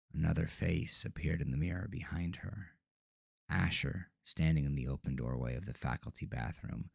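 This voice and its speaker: Very deep, gravelly male horror narrator. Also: no room sound, clean studio sound. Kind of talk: scripted horror story narration.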